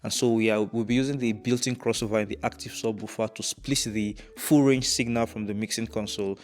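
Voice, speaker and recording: A young man presenting an instructional tutorial on audio gear in a measured, methodical way. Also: fairly low pitch, fairly even intonation. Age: young adult. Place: indoors, dark studio room.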